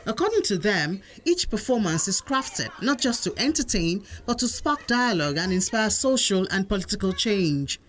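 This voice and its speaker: A woman's voice: medium pitch, very animated, normal speed, few pauses, background noise. A woman with a Nigerian accent reading scripted narration as a news reporter.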